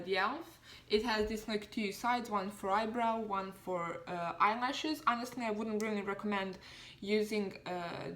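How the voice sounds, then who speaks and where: A woman's voice: medium pitch, fairly bright tone. A woman in her twenties from Serbia, talking casually through a makeup tutorial in a chatty, self-deprecating way with lots of fillers. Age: 20s. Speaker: beauty vlogger.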